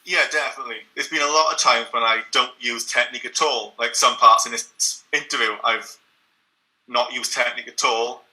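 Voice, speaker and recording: A man's voice: mid-range voice, fairly even intonation, normal speed, pushed and bright, low-quality recording. A young man, speaking as an interview guest in an earnest, reflective way, sometimes repeating words as he restarts a thought.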